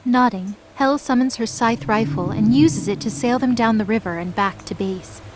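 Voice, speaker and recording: A woman's voice: a little high, normal speed. A woman giving scripted, dramatic narration as the storyteller of an audio drama.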